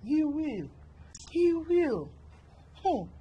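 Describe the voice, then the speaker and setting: Actress as lively, consoling gossip friend. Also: female voice, high-pitched, very slow, heavily acted. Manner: sympathetic, gossipy. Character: gossiping Nigerian female friend of the mother. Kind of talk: Nollywood-style skit acting.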